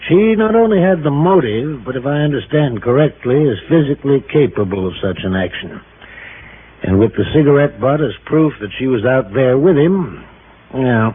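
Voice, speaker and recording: An actor playing an older, condescending doctor who lectures and scoffs in a 1950s radio drama. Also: male voice, medium pitch, slightly rough. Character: brisk, condescending older male country doctor. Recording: narrow, noisy old radio broadcast.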